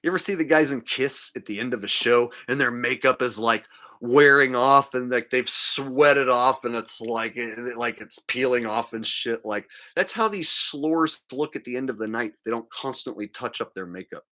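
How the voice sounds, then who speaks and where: A man's voice: mid-range voice, moderate pace, pushed and bright. A man hosting a livestream, delivering a casual, crude, mocking rant in a monologue. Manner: mocking, crude, acting out mimicry. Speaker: livestream host.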